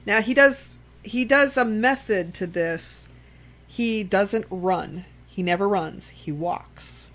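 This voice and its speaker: A woman's voice: mid-range voice, takes it slowly, a bit bright. A woman co-hosting a podcast in casual conversation, blunt and salty-tongued, retelling things with animation and repeated phrases. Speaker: podcast co-host. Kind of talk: casual film discussion.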